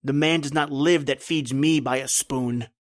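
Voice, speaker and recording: A man's voice: medium pitch, steady tone, moderate pace, dry recording, studio quality, lightly acted. Surly, sarcastic man acting as convict thief. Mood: bitter. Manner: sarcastic, challenging, blunt. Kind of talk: old-time radio horror acting.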